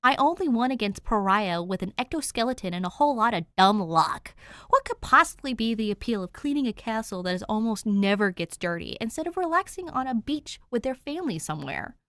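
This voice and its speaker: A woman's voice: a little high, sing-song, brisk, fairly bright tone, no room sound, pitched above the performer's own voice. A woman doing a flustered teenage boy king. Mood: exasperated. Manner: ranting, exasperated.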